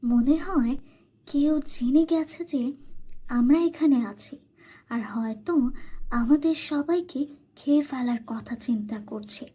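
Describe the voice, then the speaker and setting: A woman's voice: sits high, slow pace, pauses often, mellow, husky, heavily acted. A woman voicing a timid female fairy in a cartoon, speaking in an anxious, pleading manner. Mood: alarmed.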